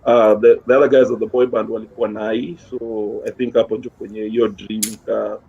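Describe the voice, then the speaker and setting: A man's voice: on the low side, level tone, a little fast, warm tone. A middle-aged man with a Kenyan accent chatting casually as an interview guest, mixing languages and repeating words for emphasis. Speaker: interview guest, gospel musician. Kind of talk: casual chat, code-switching. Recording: dull lo-fi sound with clear background noise.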